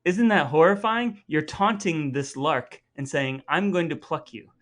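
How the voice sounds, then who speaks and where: A man's voice: on the high side, measured pace, a bit bright. An American man hosting a casual comedy podcast in a playfully mock-grand, theatrical manner. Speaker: podcast host.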